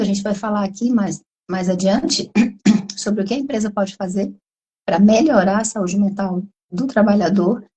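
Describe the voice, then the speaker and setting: A Brazilian woman talks through a video call at an even middle pitch, her voice hoarse from a cold. She explains at length and keeps checking in with little tag questions as she goes. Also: rough recording. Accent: Brazilian Portuguese. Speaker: psychologist guest. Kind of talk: explanatory live conversation.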